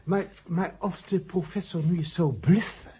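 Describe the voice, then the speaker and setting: A man's voice: high-pitched, steady tone, unhurried, mellow, husky, heavily acted. An actor playing a worried, hesitant male committee member who stammers and repeats himself in a radio drama. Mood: doubtful, hesitant. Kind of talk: 1970 Dutch radio drama acting. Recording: muffled old 1970 radio recording.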